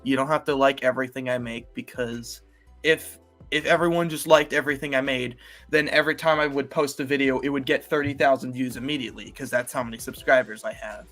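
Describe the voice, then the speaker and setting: A man's voice: mid-range voice, fairly even intonation, brisk, fairly bright tone, soft background music. An eighteen-year-old man talking casually to his channel's viewers, rambling with many fillers and restarts. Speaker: YouTuber. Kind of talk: casual solo vlog talk.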